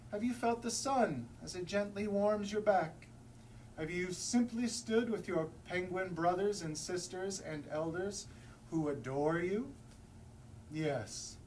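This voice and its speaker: A wise old-fashioned walrus played by a man offers kindly counsel in a measured, solemn and formal manner. The storybook voice is slow and picked up from a distance. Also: sits high. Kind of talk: picture-book read-aloud for children. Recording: distant mic, faint background noise.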